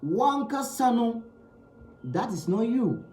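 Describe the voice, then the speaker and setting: A man's voice: extremely high pitch, big pitch swings, slow pace, darkish tone, roomy sound, faint music behind. A man giving a spiritual teaching talk. He speaks emphatically, repeating key phrases and asking rhetorical questions.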